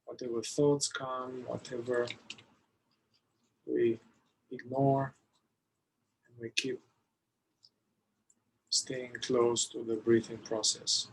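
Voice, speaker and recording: A man leading a guided meditation, giving soothing, unhurried instructions with long pauses between phrases. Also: mid-range voice, little pitch movement, gravelly, a little hiss or hum, poor audio.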